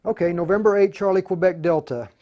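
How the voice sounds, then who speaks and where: A man with a slightly high voice is hosting a hands-on tutorial. He explains things informally, stopping and starting as he thinks aloud, like a hobbyist radio operator showing the steps. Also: fast talker, mellow, dull audio. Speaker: livestream tutorial host, amateur radio operator. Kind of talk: casual hands-on demonstration.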